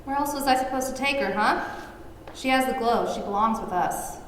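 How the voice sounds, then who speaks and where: A woman's voice: a little high, a little fast, close to an everyday voice. Woman acting brash mid-twenties woman, teasing, streetwise, drama table read, heavy echo, far from mic. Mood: flippant. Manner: flippant, sweary, teasing. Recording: echoey room, distant mic, background noise.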